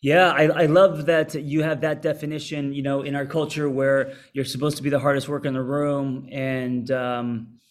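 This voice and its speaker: A man's voice: mid-range voice, level tone, moderate pace, hardly any pauses. A man co-hosting the show, moderating a group call with brief prompts and thanks, often using fillers. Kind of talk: casual moderation.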